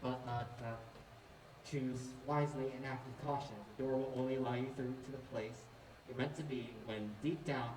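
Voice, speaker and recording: A man plays a nervous, awkward young man in a student stage drama. He hesitates and stammers through his lines at a middling pitch. The recording is distant and echoey, with clear background noise. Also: fairly even intonation, normal speed, natural voice. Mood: nervous, reading aloud. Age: young adult. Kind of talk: student stage play acting. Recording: echoey, noisy room from far off.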